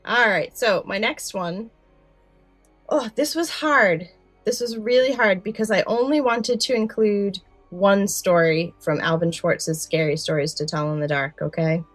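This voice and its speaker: A woman's voice: medium pitch, unhurried, no echo, faint music behind. A woman co-hosting a podcast in casual, enthusiastic chat, stressing points by repeating words. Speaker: podcast co-host.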